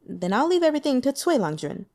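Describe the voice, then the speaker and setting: A woman's voice: high voice, very animated, fast talker, a little mellow, pitched above the performer's own voice. Woman as a proud, formal prince. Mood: respectful. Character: proud, formal foreign prince, earnest diplomat.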